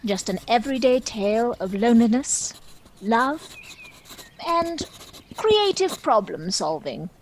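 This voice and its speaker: A woman playing a kindly, wry female dragon, a loving companion in a gentle stage comedy. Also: fairly high pitch, very animated, a little slow, a little hiss or hum, low-quality recording. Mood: fond, measured.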